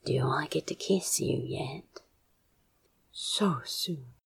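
A woman playing a teasing, tender man in love in a fan audio drama, with a soft, casual, husky drawl. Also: very deep voice, takes it slowly, no echo, full character voice. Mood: tender, hushed. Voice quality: husky, gravelly, breathy.

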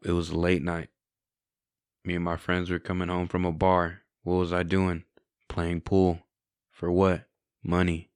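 A man's voice: deep voice, monotone, unhurried, long pauses, clear, resonant tone, no echo, studio quality. A man in his twenties sharing his testimony in a candid, reflective, conversational way. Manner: candid, reflective, often adds "right?" and "you know". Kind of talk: casual conversational storytelling.

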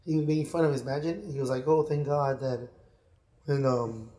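A man talking casually on a podcast in a mellow, warm voice. He rambles a little and restarts his sentences often, and sometimes puts on voices to quote people. His pitch sits in an ordinary middle range. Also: fairly even intonation, brisk. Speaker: podcast co-host. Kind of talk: casual chat. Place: Miami.

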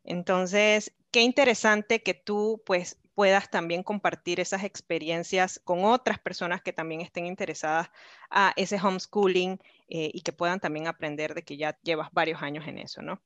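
A woman's voice: fairly low pitch, steady tone, moderate pace, fairly bright tone, no room sound. A woman hosting a casual podcast interview, introducing and thanking her guest in a gushing way. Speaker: podcast host.

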